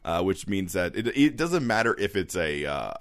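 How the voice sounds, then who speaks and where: Deep-voiced man rambling on a podcast. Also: big pitch swings, moderate pace, no echo, studio quality. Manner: rambling, full of fillers and restarts, self-mocking. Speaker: podcast co-host. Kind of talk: casual podcast banter. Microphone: studio podcast mic.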